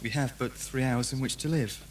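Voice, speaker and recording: A man's voice: mid-range voice, fairly even intonation, moderate pace, natural voice. Defiant father and prisoner for audio drama, male actor, mid-range, solemn, formal, declamatory; slightly noisy tape. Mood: grave, resolute. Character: defiant condemned father plotting a prison escape. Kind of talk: amateur audio drama acting. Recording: noisy hum, old cassette fan production.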